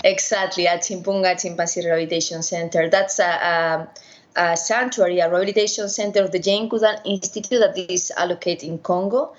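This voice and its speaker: A middle-aged woman with a Spanish accent, a veterinarian answering interview questions haltingly over an internet call. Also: on the low side, fairly even intonation, highs cut off. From Spain. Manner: halting, many restarts and fillers. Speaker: veterinarian and primatologist guest. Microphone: video call.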